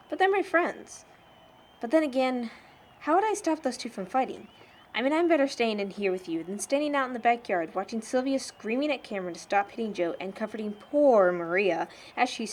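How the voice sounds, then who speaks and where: A woman's voice: on the high side, natural voice. A young woman playing a blunt, sardonic teenage churchgoing girl in an amateur audio drama, frank and slangy. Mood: chatty, exasperated.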